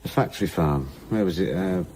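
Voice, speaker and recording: Protective husband chatting at home, deep voice, swoops about, jokey, radio play. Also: male voice, lively intonation, a little fast, vocal fry, noisy background. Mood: casual. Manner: casual, gently joking. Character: teasing, protective male partner at home. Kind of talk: naturalistic radio drama acting.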